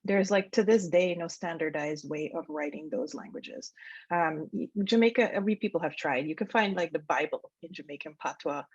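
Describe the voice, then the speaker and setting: A woman's voice: low-pitched, normal speed, breathy edge, no echo, lo-fi sound. A woman from Guyana, an academic, answering audience questions thoughtfully with frequent ums and restarts over a video call. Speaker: academic speaker. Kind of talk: answering questions after a lecture.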